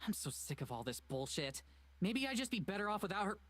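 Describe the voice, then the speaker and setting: A woman's voice: medium pitch, very animated, brisk, no room sound. A woman voicing an emotional, awkward and defensive young woman in a visual novel game. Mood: frustrated, hurt.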